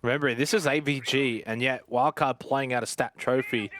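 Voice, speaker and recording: A man delivers analytical live commentary on a competitive game. His pitch is average and his manner is conversational and explanatory, as if walking viewers through the tactics. Clear background noise and clicking sit behind his voice. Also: fairly even intonation, moderate pace, a bit bright. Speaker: esports commentator.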